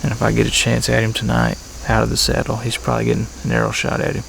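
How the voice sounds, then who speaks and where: A man talking casually to camera as a bowhunting vlogger, in a laid-back, halting way, outdoors. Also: medium pitch, very animated, gravelly, fairly bright tone, rough recording. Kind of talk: casual vlog talk to camera. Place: outdoors in a tree saddle at a pasture. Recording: outdoor ambience with faint insect noise. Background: insects and crickets.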